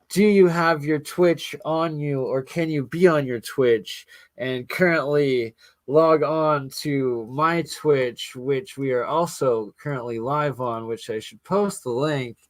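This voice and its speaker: A man's voice: mid-range voice, no echo. A man in his late thirties hosting a casual livestream chat, rambling through his plans with many fillers. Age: 30s. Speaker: host.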